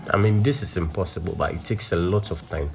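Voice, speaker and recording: A man's voice: low-pitched, a little fast, creaky, darkish tone, soft background music. A man explaining farm work in an instructional voice-over, with frequent ums and okays. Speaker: farming channel presenter.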